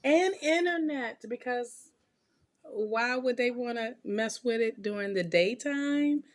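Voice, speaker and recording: A hoarse woman with a raspy voice, chatting casually and laughing on a live stream, calling people honey. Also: a little high, animated, slow. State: hoarse, recovering from illness. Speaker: tarot reader co-host. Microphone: desk mic for live stream.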